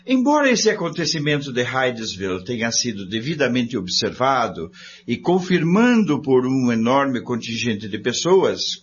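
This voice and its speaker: An actor playing a jovial member of a research group in a radio drama, with a Brazilian accent and wordy, theatrical phrasing. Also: male voice, medium pitch. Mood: earnest, marvelling. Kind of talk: scripted radio drama acting. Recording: dull lo-fi audio with faint noise.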